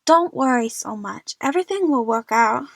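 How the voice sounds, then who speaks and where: A woman acts out a feisty young heroine in an audio drama, outspoken and impatient. Her voice is fairly high and very dry in the recording. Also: expressive, moderate pace, gravelly, no echo. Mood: light, reassuring. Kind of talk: amateur audio drama dialogue.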